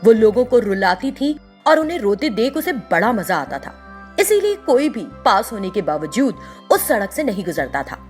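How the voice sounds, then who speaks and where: A woman narrating a scripted children's story in an expressive storytelling way. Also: medium pitch, normal speed, soft background music.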